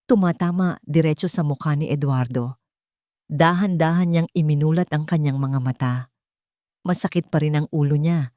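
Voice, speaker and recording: A woman narrating a dramatic romance story in a measured, theatrical storytelling manner. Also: deep voice, warm tone, no echo, studio quality.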